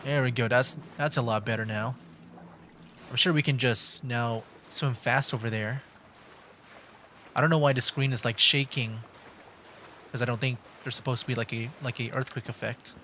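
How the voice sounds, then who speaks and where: A man's voice: mid-range voice, fairly even intonation, moderate pace, pauses often, bright, forceful tone, a little hiss or hum. A man livestreaming a video game, thinking aloud in a casual, rambling, hesitant way. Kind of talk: casual gameplay commentary.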